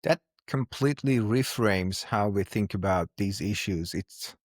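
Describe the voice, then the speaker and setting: A man hosting an interview, asking measured questions and affirming the guest. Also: fairly low pitch, no echo, clean studio sound. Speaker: podcast host.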